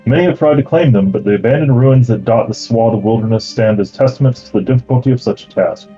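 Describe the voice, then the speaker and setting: This man is the game master of a tabletop session. His voice is mellow and a little husky. He reads the setting's lore steadily, then explains the rules loosely with fillers. The sound is slightly dull, with faint music playing behind him. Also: mid-range voice, quick pace. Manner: steady reading that turns into relaxed explaining with fillers.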